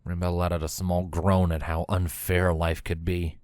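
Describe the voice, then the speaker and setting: Low-voiced man narrating a story, dry sound. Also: flat delivery, moderate pace, a little mellow, no room sound. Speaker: fan fiction reader, narrator.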